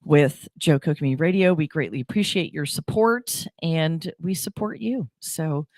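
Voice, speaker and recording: A woman's voice: deep voice, moderate pace, a little mellow, dry recording, clean studio sound. A woman hosting a community radio show in a chatty, upbeat way, her nose stuffy. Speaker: radio host.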